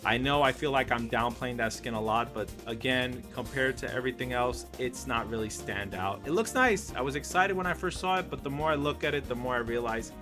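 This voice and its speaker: A man's voice: medium pitch, expressive, a little fast, few pauses, music in the background. A man giving casual, candid game review commentary, conversational and opinionated as he goes. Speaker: video game YouTuber reviewing skins.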